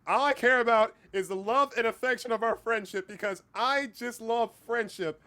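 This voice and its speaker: A man's voice: extremely high pitch, normal speed, bright, forceful tone. A man hosting a casual livestream chat, speaking informally, with repeated words and occasional swearing. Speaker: livestream host and hobby voice actor.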